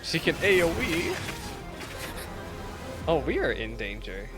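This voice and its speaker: A woman giving casual, expressive reaction commentary as she plays a video game, full of exclamations and playful slang. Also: sits low, slow. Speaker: gaming streamer reacting to a game. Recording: loud game music under the voice. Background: game music and dialogue.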